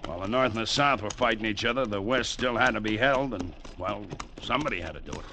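An actor playing a loyal, plainspoken cavalry first sergeant in an old radio drama, respectful and matter-of-fact. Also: male voice, lowish voice, quick pace, lightly acted. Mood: patient, respectful. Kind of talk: 1940s-50s radio acting. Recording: faintly noisy old radio recording.

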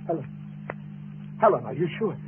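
An actor playing a nervous, guilt-ridden, weak-willed lover in a 1940s radio mystery, speaking in a faltering, melodramatic way. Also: male voice, a little high, expressive, moderate pace, soft background music, natural voice. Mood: fearful, hesitant. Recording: scratchy, tinny old radio broadcast.

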